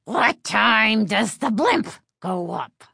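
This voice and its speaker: A woman voicing a nasty male ventriloquist dummy in a horror storybook, raspy and sneering as it drags out gleeful insults. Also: a little high, very animated, pushed and bright, no echo, studio quality, voice pushed up, heavily acted. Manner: slow, sneering, drawn-out insults.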